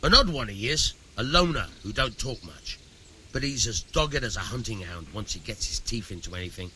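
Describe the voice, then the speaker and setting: An actor playing a plain-spoken, shady medieval village miller with a folksy, dry manner in a video game. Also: male voice, lowish voice, animated, moderate pace, sharp tone, slight background noise. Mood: wry. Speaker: game character, miller who teaches thieving. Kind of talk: acted game dialogue.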